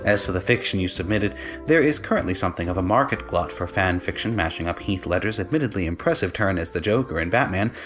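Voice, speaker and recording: A man reading a scripted formal letter as an editor, with a deadpan, officious manner. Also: low-pitched, fast, hardly any pauses, soft background music. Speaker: managing editor.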